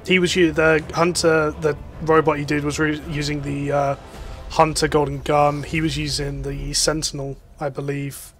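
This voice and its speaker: Casual male gaming host, slightly high, rambling, light music under. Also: level tone, normal speed, a bit bright. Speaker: gaming youtuber. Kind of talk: casual gameplay commentary.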